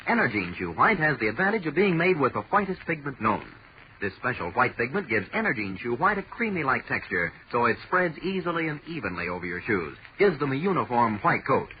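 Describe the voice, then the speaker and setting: A man's voice: mid-range voice, very animated, a little fast. An American man announcing a scripted 1940s radio commercial in an instructive, salesmanlike way. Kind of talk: 1940s radio advertising read. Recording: dull, noisy old radio recording.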